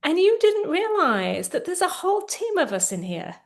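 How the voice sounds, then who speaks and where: A woman therapist guiding a tapping exercise, explaining gently with frequent fillers, then leading phrases to repeat. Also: extremely high pitch, very animated, normal speed. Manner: gentle, instructive, many fillers. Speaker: therapist and coach. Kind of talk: guided tapping session.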